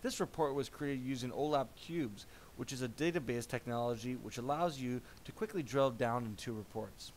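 Man narrating a software demo, faint hiss. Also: mid-range voice, poor audio. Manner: measured explanatory presenter tone.

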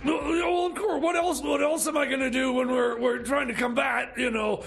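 A man playing an excitable, rambling time machine mechanic in improvised comedy radio banter, halting and repeating himself. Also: extremely high pitch, creaky, breathy edge, heavily acted. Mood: scheming, rambling. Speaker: radio show co-host.

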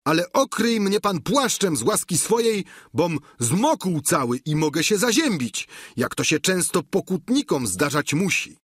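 A man voicing a wily, grumbling peasant squire in a storybook reading, exclaiming, whining and haggling loudly. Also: sits high, big pitch swings, a little slow, husky, no echo, clean studio sound, voice pushed up, exaggerated voice. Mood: insistent, whining.

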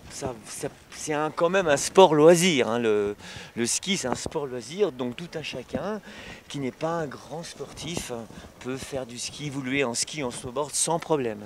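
Man, 50s, ski instructor, halting filler-heavy answers, average pitch, outdoor slope, handheld mic, faint background noise. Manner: halting, frequent "hein" and "évidemment" tags. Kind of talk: casual interview answers.

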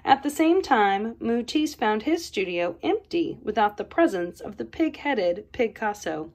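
Lively, unhurried woman reading a picture book. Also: fairly high pitch. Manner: expressive storybook reading with playful voices for quotes. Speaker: art educator reading aloud. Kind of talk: children's picture book read-aloud.

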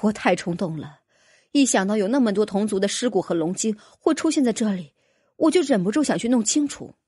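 A woman's voice: medium pitch, expressive, a little fast, mellow, slightly rough, no room sound, studio quality. A woman voicing an anxious dragon noblewoman in a fantasy audiobook, earnestly recounting a frightening ordeal with apprehension. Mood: distressed.

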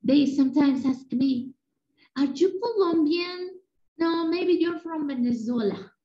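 A woman from Mexico with a Mexican Spanish accent, a Spanish-speaking teacher giving a lively, chatty conference talk to other teachers over a video call. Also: sits high, measured pace. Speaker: teacher presenting at an education conference. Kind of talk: presentation lecture over slides. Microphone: laptop or webcam mic on a video call. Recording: echoey room, dull lo-fi sound.

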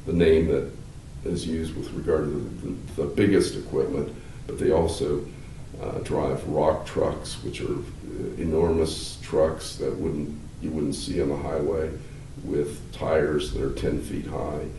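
An older man, a law professor, explaining at length in a measured, halting way with many fillers, seated in a wood-paneled room. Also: rumbling low, flat delivery, warm tone. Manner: gestures with hands while talking. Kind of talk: explanatory interview answers. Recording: roomy, slightly distant, faint hiss.

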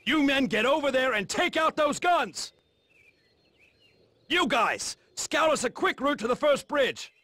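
An actor playing an urgent paratrooper officer barking clipped orders in a war video game. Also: male voice, extremely high pitch, lively intonation, unhurried, dry recording, exaggerated voice. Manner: shouting, clipped commands. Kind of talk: video game cutscene acting.

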